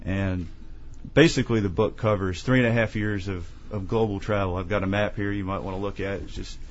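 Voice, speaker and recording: A man from Tennessee, an author being interviewed, telling his story in a measured, earnest way. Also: lowish voice, fairly even intonation, dull audio, a little hiss or hum. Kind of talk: conversational interview.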